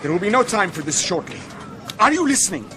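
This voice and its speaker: An actor playing a bold, protective young medieval adventurer in a radio drama, firm and instructing. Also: male voice, high-pitched, sing-song, quick pace, sharp tone, some chatter behind, a little hiss or hum, exaggerated voice. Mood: earnest, commanding.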